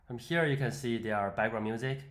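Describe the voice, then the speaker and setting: A man presenting an unscripted software walkthrough, explaining step by step in a hesitant way. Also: medium pitch, fairly quick, faint music behind. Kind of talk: unscripted screen-demo walkthrough.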